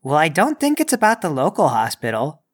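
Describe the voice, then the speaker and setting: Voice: medium pitch, huge pitch swings, brisk, slightly rough. A player speaking in character in a tabletop game, explaining things in a matter-of-fact way.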